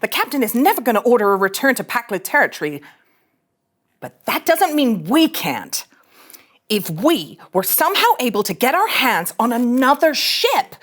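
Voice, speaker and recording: A woman's voice: high voice, a bit bright, exaggerated voice. A woman playing a brash, irreverent young starship ensign in a sci-fi comedy, blunt and sarcastic. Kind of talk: animated sci-fi comedy table read.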